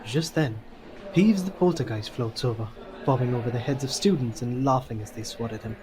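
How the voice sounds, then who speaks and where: A man's voice: mid-range voice, fairly quick, a crowd in the background, low-quality recording. A man reading scripted story narration for an audio drama.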